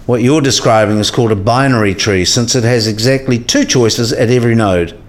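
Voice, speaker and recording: A man's voice: mid-range voice, fairly quick, natural voice. Precise, didactic professor played by a man. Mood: didactic, patient. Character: learned professor patiently explaining to a younger colleague. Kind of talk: radio play dialogue.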